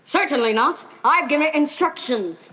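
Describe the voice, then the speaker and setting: A teenage girl in a school stage play, playing a brisk, controlling male Victorian doctor with a formal, instructing manner. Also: high voice, animated, bright, forceful tone, low-quality recording. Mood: authoritative.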